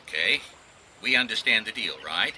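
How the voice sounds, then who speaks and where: A man's voice: on the low side, lively intonation, moderate pace, bright, forceful tone, a little hiss or hum, poor audio. An actor playing a suspicious young businessman in a radio drama, guarded and cagey. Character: smooth, suspicious young man delivering a bribe.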